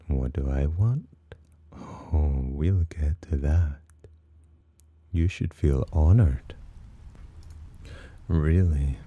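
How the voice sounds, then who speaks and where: A man playing a teasing, possessive demon in an ASMR roleplay, with a breathy, husky voice and a smug, theatrical drawl. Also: a bass voice, warm tone, no echo, heavily acted. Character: teasing, possessive male demon sheltering a human. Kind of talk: ASMR roleplay, slow intimate character acting.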